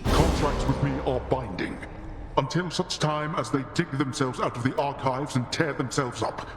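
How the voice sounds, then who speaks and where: An actor playing a stern, weary god of the dead who talks in a cold, clipped, imperious way. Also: male voice, mid-range voice, moderate pace, echoey room, in a large hall, music in the background, natural voice. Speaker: lord of the underworld.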